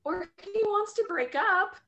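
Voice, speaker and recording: Woman acting a very high-voiced, comically sad young woman. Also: animated, measured pace, sharp tone, dull audio, exaggerated voice. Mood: glum. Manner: sobbing, whiny, outward like a little kid. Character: comically sad young woman, jilted, dressed as Christmas elf. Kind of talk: comedy scene practice reading.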